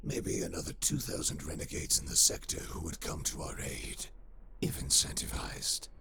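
An actor playing a pragmatic traitor officer who talks in a dry, matter-of-fact way. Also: male voice, a bass voice, sing-song, moderate pace, creaky, noisy background, exaggerated voice. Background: music.

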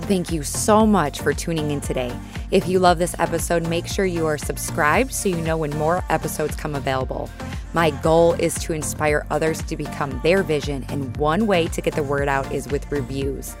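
Low-voiced encouraging woman podcast host. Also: normal speed, over music. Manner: encouraging, conversational, talks straight to listeners. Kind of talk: motivational solo podcast.

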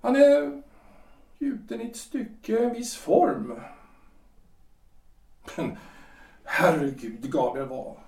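Man doing halting 40s politician, lots of eh and ja, kinda high dark voice, echoey room, off-mic. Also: sing-song, gravelly, recorded from a distance, heavily acted. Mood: uneasy. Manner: hesitant, halting, full of fillers. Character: hesitant 43-year-old lawyer and politician, Gustav. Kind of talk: audio drama acting.

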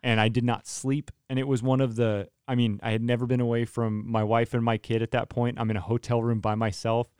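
A man's voice: medium pitch, little pitch movement, brisk, few pauses, a little mellow, smooth, no echo, clean studio sound. A young man and worship leader telling a story in casual podcast chat, earnest and often restarting his sentences.

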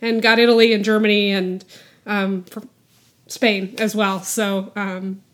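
Slow-talking laughing woman co-host. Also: medium pitch, flat delivery, fairly bright tone. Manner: rambling, laughs often. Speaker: podcast co-host. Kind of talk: casual chat.

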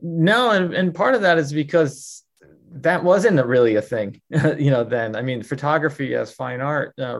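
A man's voice: on the low side, gravelly. A man answering interview questions at length, telling a life story fluently with many fillers. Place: New York.

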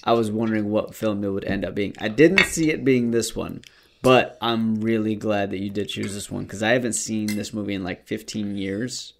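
A man's voice: fairly low pitch, moderate pace, slight background noise. A man hosting a podcast in casual, conversational talk. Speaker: podcast host. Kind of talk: casual chat.

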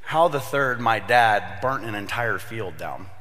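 Slightly raspy pastor in a big hall. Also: male voice, on the low side, expressive, a little slow, bright, forceful tone, a little hiss or hum. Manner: chatty storyteller, jokey asides, many fillers. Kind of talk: casual sermon to young adults.

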